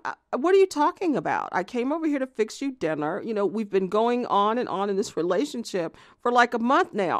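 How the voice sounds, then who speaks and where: Casting: actress for an obsessive, vengeful jilted woman, conversational monologue for audio drama, hesitant yet quick to indignation, gravelly, close dry sound. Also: mid-range voice, no echo. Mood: indignant, incredulous. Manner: hesitant, filler-strewn rambling that flares into swearing indignation. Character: jilted, obsessive woman confessing vengeful deeds, self-justifying and volatile. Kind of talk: narrated audio theatre monologue, conversational confession.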